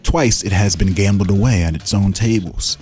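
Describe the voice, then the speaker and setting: A man's voice: low voice, normal speed, darkish tone. A man hosting in a casual style, reading game lore aloud and breaking off into musing, informal asides. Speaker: host presenting fan-made game lore. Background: music.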